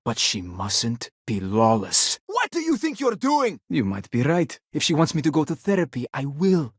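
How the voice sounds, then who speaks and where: Stern, bitter father with a rough-edged voice. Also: a little high, wildly swooping pitch, moderate pace, no echo, studio quality, full character voice. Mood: stern, guarded, then angry, indignant. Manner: stern, indignant, clipped formal phrasing. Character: bitter, proud widowed father, stern and wounded. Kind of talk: video game voice acting.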